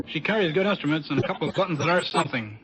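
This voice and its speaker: A young American man, a military test pilot, answering a 1940s radio interviewer in a deliberate, deadpan way. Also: on the high side. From Chicago, United States. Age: young adult. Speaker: Air Force test pilot, captain. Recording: muffled, noisy 1940s radio broadcast.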